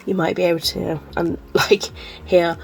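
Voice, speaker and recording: A woman's voice: fairly low pitch, little pitch movement, a little slow, creaky, a little mellow, low-quality recording. A woman chatting casually to camera as a book vlogger, sounding chesty and congested, rambling with lots of ums and likes. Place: at home.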